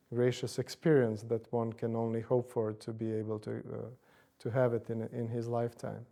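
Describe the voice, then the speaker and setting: A man in his forties from Bosnia and Herzegovina, speaking, answers interview questions in a measured way, with frequent fillers and hedges. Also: mid-range voice, vocal fry, warm tone. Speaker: interviewee, church commission director.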